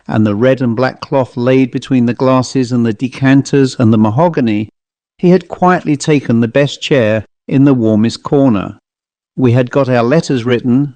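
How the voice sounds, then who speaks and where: A man's voice: mid-range voice, darkish tone, dry recording. A man reading a short story aloud as a scripted audiobook narrator.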